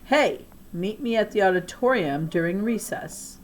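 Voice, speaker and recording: A woman's voice: medium pitch, expressive, a little slow, close to an everyday voice. An earnest young schoolboy , as a woman storyteller plays him, speaks with pleading, emphatic insistence in a children's audiobook. The voice is raised a little in places, over a noisy recording. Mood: eager, pleading.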